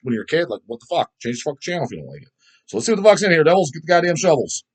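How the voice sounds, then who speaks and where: A man's voice: fairly high pitch, big pitch swings, racing. A middle-aged man hosting a casual video, rambling through his opinions in a blunt, crude, emphatic way with heavy swearing. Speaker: host answering viewer comments.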